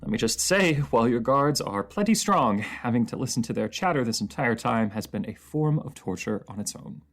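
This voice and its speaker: A man plays a captured ruler with a mercenary past in a fantasy audio drama. His mid-pitched voice has a heavy creak to it. He speaks in proud, formal phrases that can turn halting, with bitterness underneath. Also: expressive, lightly acted. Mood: resentful, formal. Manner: halting, stammering when flustered, formal fantasy diction. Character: captive enemy ruler, proud and bitter former mercenary, male adult.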